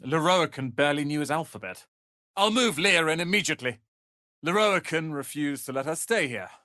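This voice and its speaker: A man's voice: fairly high pitch, animated, fairly quick, pushed and bright, no echo, clean studio sound. A man playing a proud, prickly young apprentice wizard in a video game, speaking in a haughty, clipped and articulate way. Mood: haughty, irritated. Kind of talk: dramatic video game voice acting.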